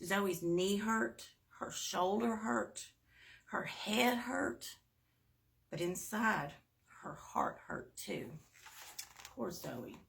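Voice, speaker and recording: Slow, creaky-voiced woman reading a bedtime story. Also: on the low side. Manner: expressive, drawn-out emphasis with asides to young listeners. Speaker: storybook reader at a performing arts school. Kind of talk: read-aloud bedtime story for children.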